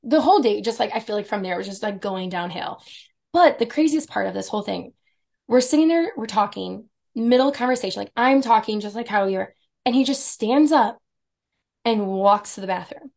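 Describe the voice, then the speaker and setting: A woman's voice: a little fast, gravelly. Chatty thirties woman telling a date story. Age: 30s. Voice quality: deep voice for a woman. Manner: animated storyteller, acts out quotes, laughs often. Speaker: podcast guest, esthetician. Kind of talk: casual chat, storytelling.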